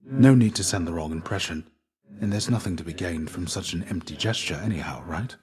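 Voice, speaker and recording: An actor playing the ghost of a fallen Greek warrior in a video game, a gentle, fatherly mentor with measured, formal, old-fashioned phrasing. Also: deep voice, natural voice. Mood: cautioning. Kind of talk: video game character dialogue.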